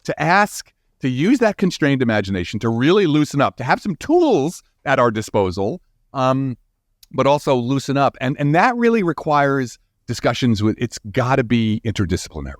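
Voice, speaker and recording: Animated, emphatic man in a dry recording. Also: mid-range voice, normal speed, no echo. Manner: enthusiastic, emphatic, often checks with "right?". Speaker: guest scientist being interviewed. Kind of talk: animated conversational interview.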